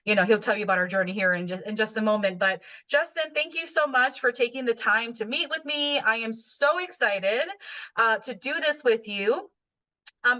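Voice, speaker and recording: Bright, bubbly woman host. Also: on the high side, rough recording. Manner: enthusiastic, warm, filler-heavy. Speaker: host, coach. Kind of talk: interview introduction.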